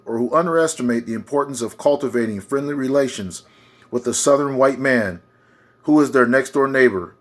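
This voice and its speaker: Male, medium-pitched voice for reading historical oratory aloud; declamatory, with the odd stumble. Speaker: reader.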